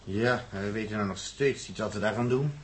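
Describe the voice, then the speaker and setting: An actor playing a dry, grudging spaceship crewman in a 1960s Dutch radio play, with a husky voice and a Netherlands accent. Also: fairly low pitch, a little fast, a little hiss or hum, close to an everyday voice. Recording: 1960s radio drama recording.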